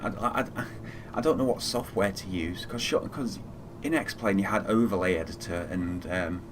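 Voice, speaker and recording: Deep-voiced man streaming over background noise. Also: normal speed. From Fiji. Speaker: flight simulator live streamer. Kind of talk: casual live gaming commentary.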